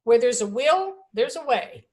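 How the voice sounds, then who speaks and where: A woman's voice: on the high side, big pitch swings, measured pace, a little mellow. A woman admissions advisor answering questions in a webinar over a video call, talking in an emphatic, upbeat, conversational way.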